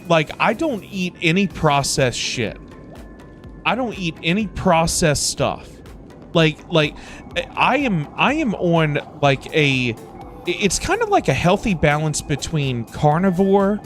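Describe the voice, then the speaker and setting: A male livestream host with a slightly high voice talks casually over music. He rambles, circling back and repeating phrases to stress his point. The delivery is loose, unscripted chat. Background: music playing in the background.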